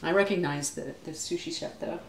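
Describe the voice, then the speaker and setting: A woman's voice: low-pitched, a little fast. An older woman chatting casually as a guest on a live stream. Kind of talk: casual chat. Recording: echoey room, faint ambient noise.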